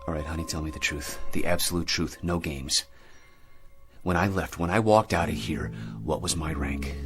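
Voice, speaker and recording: An actor playing a shaken American astronaut husband in a radio drama, speaking earnestly and haltingly and insisting he is rational. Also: deep voice, a bit bright, soft background music. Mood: tense, urgent. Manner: halting, earnest delivery with repeated words.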